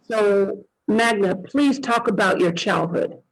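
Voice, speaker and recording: A man with a high voice hosts an interview over a video call. He speaks slowly and emphatically, leaning into a preacherly cadence as he introduces his guest. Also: gravelly, fairly bright tone, rough recording. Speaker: host.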